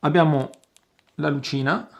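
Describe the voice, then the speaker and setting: A man hosting an outdoor gear review, explaining casually to viewers with halting pauses and repeated words. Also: fairly high pitch, steady tone, vocal fry. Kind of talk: casual product demonstration to viewers.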